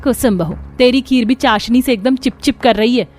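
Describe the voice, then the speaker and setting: Woman playing a high-pitched, nagging older mother-in-law. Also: moderate pace, a little mellow, lightly acted. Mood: scolding. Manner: nagging, sarcastic. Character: scolding, sharp-tongued older mother-in-law, bossy and exasperated. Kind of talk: Hindi cartoon family drama.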